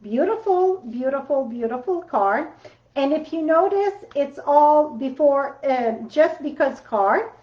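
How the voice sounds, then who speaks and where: A woman's voice: sits high, expressive, a little slow. A woman with a French accent hosting a casual card-making livestream from her home craft room, chatty and rambling, with loose grammar. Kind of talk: casual live demo chat. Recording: roomy, slightly dull sound.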